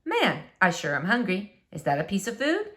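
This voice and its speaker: A woman's voice: medium pitch, huge pitch swings, moderate pace, fairly bright tone. A woman voicing a simple-minded male squirrel in a storybook, musing plainly about food. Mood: hungry, musing.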